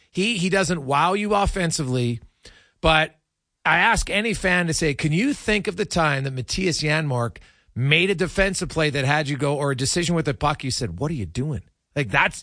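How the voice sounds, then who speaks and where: A man hosting a casual sports talk show, speaking in a halting, repetitive way. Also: fairly high pitch, pushed and bright, no room sound, clean studio sound.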